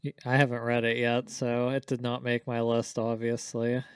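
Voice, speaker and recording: Male co-host, casual chat, clear smooth voice, little pitch movement, dry recording. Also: completely flat delivery, normal speed, dry recording. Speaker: podcast co-host.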